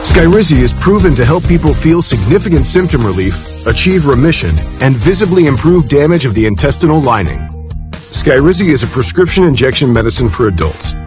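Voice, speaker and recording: Male announcer, mid pitch, calm commercial read over music. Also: brisk. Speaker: ad voiceover.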